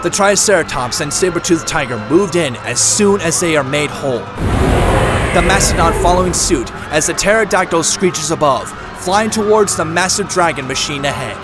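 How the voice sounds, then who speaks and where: A man's voice: on the high side, lively intonation, moderate pace, loud music behind the voice. A man giving dramatic scripted narration for an audio drama.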